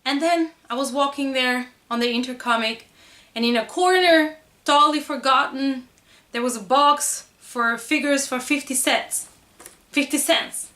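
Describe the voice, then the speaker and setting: A woman's voice: high-pitched, unhurried, pushed and bright. A woman chatting casually in a haul video, enthusiastic and gushing about her collectible figures. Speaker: horror and collectibles reviewer.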